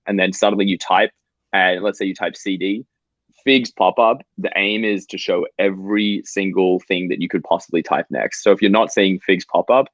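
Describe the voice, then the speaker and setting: A man, a startup co-founder explaining his product over a video call in a quick, animated way. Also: deep voice, no echo. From Australia. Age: 20s. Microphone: video call microphone. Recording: slightly dull, dry call audio.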